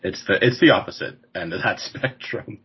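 A man's voice: on the low side, moderate pace, breathy edge. A man in his forties hosting a podcast interview, rambling casually with frequent laughs and restarts. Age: 40s. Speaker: podcast host. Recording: dull lo-fi sound.

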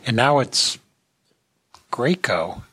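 Husky man hosting a podcast. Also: medium pitch, lively intonation, extremely slow, pushed and bright, dry recording. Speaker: podcast host. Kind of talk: casual industry podcast talk.

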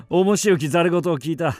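An actor playing a blunt, sceptical samurai companion with archaic warrior speech in a radio drama. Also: male voice, fairly high pitch, darkish tone. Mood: sceptical, teasing.

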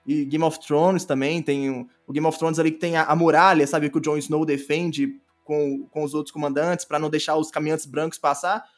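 A man's voice: fairly high pitch, level tone, normal speed, hardly any pauses, soft background music. A Brazilian man talking casually as a podcast guest about his creative work, enthusiastic and often repeating phrases as he explains.

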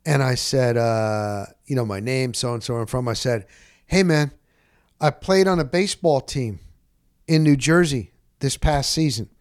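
A middle-aged man telling stories casually as a sportscaster, rambling and candid, with animated, salty talk and repeated phrases for emphasis. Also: mid-range voice, a little slow, no echo, clean studio sound. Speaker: sportscaster and podcast host.

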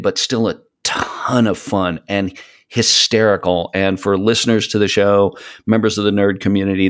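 A man chatting as a podcast guest, explaining things in an enthusiastic, rambling way. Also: lowish voice. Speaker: podcast guest, voice of an audio show. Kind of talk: casual conversational interview.

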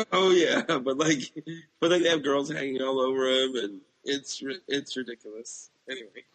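A medium-pitched American man co-hosts a casual podcast while nursing a cold. His talk is blunt and full of slang. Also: moderate pace, fairly bright tone, poor audio. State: has a cold. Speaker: podcast co-host. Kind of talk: casual chat.

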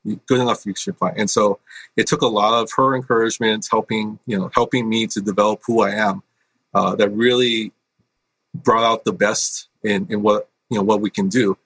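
A middle-aged man from Hawaii, a school principal, answering interview questions in an earnest, halting way with many fillers. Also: fairly low pitch, fairly even intonation, fairly bright tone, breathy edge, dry recording, rough recording.

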